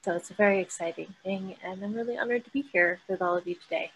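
A woman's voice: medium pitch, quick pace, fairly bright tone, rough recording. A woman, an author and activist, speaking reflectively and articulately on an online panel over a video call. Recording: faint background noise.

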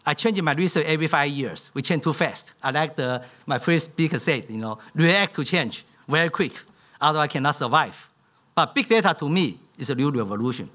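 A man's voice: fairly high pitch, animated, a little fast, bright, forceful tone. A middle-aged man giving a keynote speech from a podium in a conference hall, speaking in simple. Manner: non-native English, simplified grammar. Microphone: podium microphone.

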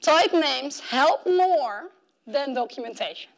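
A high, animated female voice from the front of a tech conference room, walking the audience through studies in a conversational way. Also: takes it slowly. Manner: animated, conversational, acts out imagined objections. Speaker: conference keynote speaker. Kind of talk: conference talk explaining research. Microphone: clip-on mic at the collar.

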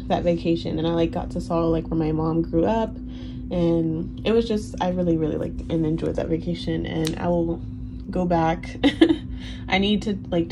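A young woman in her twenties whose first language is Haitian Creole, chatting casually in a relaxed, rambling way full of ums and likes. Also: lowish voice, vocal fry. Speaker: planner vlogger. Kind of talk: casual Q&A chat over a plan-with-me. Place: indoors at a desk. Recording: audible background noise.

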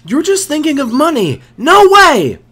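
A woman voicing a small, earnest teenage schoolboy in an anime fandub, excitable in manner. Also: high voice, a little slow, full character voice. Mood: excited.

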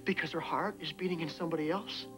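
A man's voice: on the high side, steady tone, fast talker, a bit bright, soft background music, natural voice. A man in his thirties acting a grieving husband in a daytime soap, tense and disbelieving, on a studio set.

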